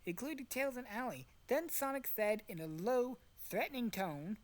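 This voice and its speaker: Animated young woman reading fan fiction aloud. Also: a little high, moderate pace. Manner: dramatic storytelling, profane asides. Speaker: narrator and podcast cast member. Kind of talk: jokey fan fiction read-aloud with casual asides.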